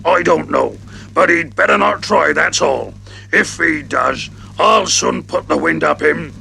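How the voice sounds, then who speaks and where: A man voicing a gruff, blustering male gorilla who warns off newcomers. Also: on the high side, measured pace, sharp tone, a little hiss or hum, exaggerated voice.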